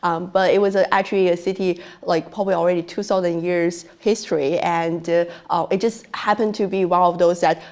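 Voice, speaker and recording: A woman's voice: fairly low pitch, fairly even intonation, fairly quick, few pauses, a little mellow. A woman from China with a strong Chinese accent, casually telling her story as an interview guest. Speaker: researcher, panel guest.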